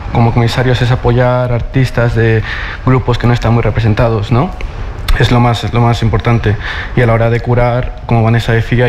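A man's voice: mid-range voice, a little mellow, a little hiss or hum. A young man from Madrid, a curator, talking casually on a panel in a large auditorium and mixing in English terms. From Madrid, Spain. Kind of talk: panel talk.

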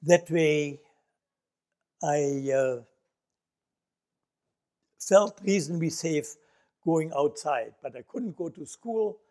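An older man with a Dutch accent gives a reflective, storytelling lecture from an auditorium stage, pausing often on fillers. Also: on the high side, expressive, warm tone. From Netherlands. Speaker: Holocaust survivor speaker.

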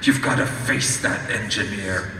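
A man playing a commanding, campy crime fighter, mock-serious and deliberate, in a live comic radio play. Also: lowish voice, pushed and bright. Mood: urging. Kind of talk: live old-time radio drama performance, played for laughs. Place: large convention hall stage with audience. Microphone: panel microphone through PA, filmed from audience. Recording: echoey convention hall, distant, noisy audience recording.

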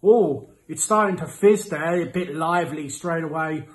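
A man's voice: a little high, moderate pace, noisy background. Playful man reviewing to camera. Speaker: host of a beer review series. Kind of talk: casual product review to camera. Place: small indoor room with window blinds.

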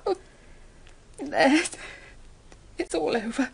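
A woman's voice: high-pitched, big pitch swings, slow pace, vocal fry, exaggerated voice. An actress playing a middle-aged stepmother in a British radio drama, emotionally fragile yet brave, with a British accent. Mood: fragile, tearful.

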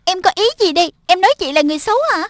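A woman voicing a bossy but caring schoolgirl big sister in a cartoon dub, firm and deliberate. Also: very high-pitched, dry recording. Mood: indignant.

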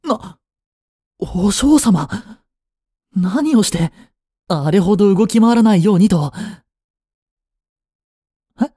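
Casting: male actor as a cool young butler, formal, devoted and jealous, dark mellow tone, close dry sound, romantic audio drama. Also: high voice, expressive, slow pace, long pauses, slightly rough, no room sound, exaggerated voice. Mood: flustered, protesting. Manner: formal, polite to his mistress, curt to rivals.

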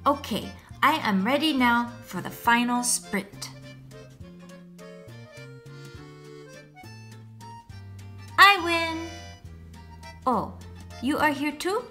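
Boastful but sweet little French snail for a storybook, voiced by a woman: bright, slow, dramatic delivery over faint music. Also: high-pitched, lively intonation, voice pushed up, heavily acted. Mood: boastful, excited, then celebratory. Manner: theatrical, deliberate, addressing the child listener. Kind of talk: picture book read-aloud.

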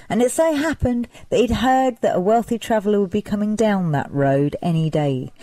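A woman storyteller narrating a folk tale dramatically, with expressive, deliberate emphasis. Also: lowish voice, dry recording.

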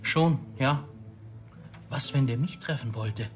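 An actor playing a polite but uneasy singer and witness in a TV drama, speaking hesitantly. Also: male voice, mid-range voice, slow, natural voice. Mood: polite, uncertain.

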